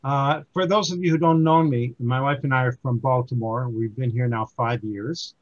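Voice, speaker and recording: An older man in his seventies gives an informal book talk to a community group. He speaks haltingly, restarting sentences often, at a middling pitch, on a dull, lo-fi recording. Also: fairly even intonation. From born in Germany, raised in the United States. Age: 70s. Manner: halting, with many restarts and fillers. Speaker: book reviewer giving a talk.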